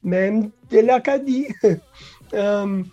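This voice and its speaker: Slow, halting woman. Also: medium pitch, warm tone, lo-fi sound, faint music behind. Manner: halting, restarts words, mixes English into French, laughs often. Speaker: guest. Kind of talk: casual interview talk.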